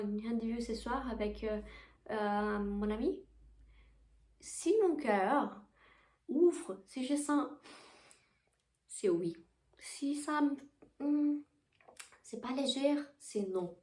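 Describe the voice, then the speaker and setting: Portuguese-accented woman in an echoey bedroom. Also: a little high. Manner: playful, gentle, with small slips and restarts. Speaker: spiritual vlogger. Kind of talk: casual spiritual vlog. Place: her bedroom.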